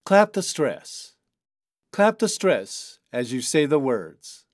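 A man's voice: fairly high pitch, very animated, slow, no echo, clean studio sound. A man announcing the sections and instructions of a scripted language lesson.

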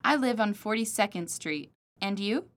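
A woman's voice: mid-range voice, expressive, normal speed, a bit bright. A woman reading model dialogues and repeated words for a language course, articulating each one carefully. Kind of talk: scripted model dialogues and drills.

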